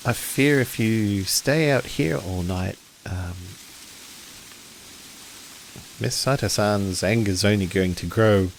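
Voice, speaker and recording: Man playing a flustered, low-voiced samurai-era go-between. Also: creaky, a little hiss or hum, rough recording. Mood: worried, hesitant. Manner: halting, polite, stammering. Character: earnest, flustered male samurai-era companion, polite go-between.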